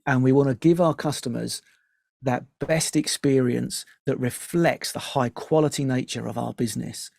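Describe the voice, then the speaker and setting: Male interview guest, mellow and gravelly, dry close sound, emphatic advisory talk. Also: medium pitch, moderate pace, dry recording. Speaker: podcast guest, audio and music professional. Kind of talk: conversational advice in an interview.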